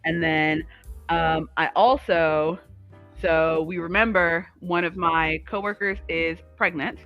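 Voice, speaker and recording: A woman's voice: lowish voice, steady tone, takes it slowly, highs cut off, faint music behind. A woman co-hosting a livestream, chatting casually about crafts in a rambling, easily sidetracked way. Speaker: livestream co-host.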